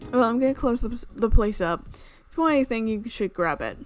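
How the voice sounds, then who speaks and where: A woman's voice: on the high side, expressive, a little fast, soft background music, lightly acted. A woman voicing a sardonic female art student from a visual novel in a cold, dry, unimpressed manner. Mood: bored.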